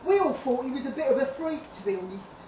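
Brash young classmate woman acting, loud, echoey. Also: high voice, lively intonation, quick pace. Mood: brash, amused. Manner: slangy, brash, casual. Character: cheeky, blunt young female former classmate. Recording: distant echoey live venue, noisy room.

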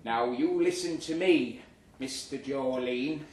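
A man's voice: medium pitch, slow, a little mellow, natural voice. A man voicing a lovesick, blustering office worker who talks tough and pleads melodramatically in a live comic story reading. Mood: defiant. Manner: melodramatic, stammering tough talk. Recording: roomy, distant live recording with noise.

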